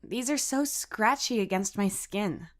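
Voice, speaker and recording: Brief: female narrator as bratty, sarcastic teen girl, high and snappy, quick to take offence. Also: close to an everyday voice. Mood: disgusted grumbling. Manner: snappy, mocking. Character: feisty, sarcastic, easily irritated teenage girl.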